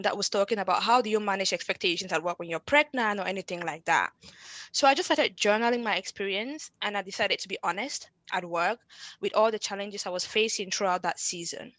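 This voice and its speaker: A woman's voice: medium pitch, brisk, a bit bright, no echo. A woman from Cameroon speaking as an interview guest in a conversational style. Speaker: podcast guest, founder and management consultant.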